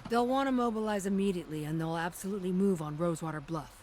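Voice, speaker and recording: An actress playing a shrewd technical specialist in a game, speaking with deliberate confidence. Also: female voice, lowish voice, a little mellow, slight background noise, close to an everyday voice. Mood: assured. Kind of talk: video game dramatic dialogue.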